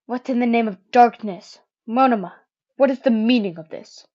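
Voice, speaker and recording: A woman's voice: fairly high pitch, normal speed, dull audio, close to an everyday voice. A young woman voicing a tense, suspicious male student in a fan-fiction audiobook, with raised, clipped lines. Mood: alarmed.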